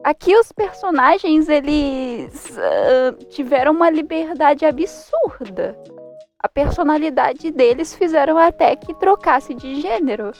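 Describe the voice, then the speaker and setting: A young woman with a Brazilian accent giving a casual, informal and expressive review commentary. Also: sits high, a little slow. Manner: informal, expressive, exclaiming in mock disbelief. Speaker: manhwa reviewer. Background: faint music.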